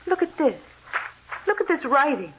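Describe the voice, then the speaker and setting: A woman's voice: high-pitched, big pitch swings, normal speed, fairly bright tone, highs cut off. An actress playing a curious, easily frightened woman with melodramatic urgency, in an old radio drama on a noisy recording. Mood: curious, uneasy. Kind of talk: 1930s-40s radio drama acting.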